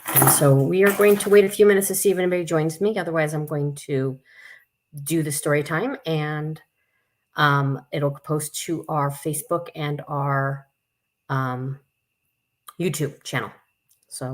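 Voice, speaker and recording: Low-pitched woman hosting children's story time. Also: steady tone, moderate pace. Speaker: camp staff story time host. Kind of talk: live children's read-aloud with chatty asides.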